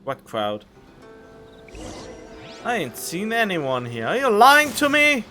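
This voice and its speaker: A male streamer chats casually over game music, thinking out loud. His voice sits a little high and moves up and down a lot, at a relaxed, slightly slow pace. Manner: informal, musing aloud. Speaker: gaming streamer. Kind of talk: casual gameplay commentary. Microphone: studio condenser microphone.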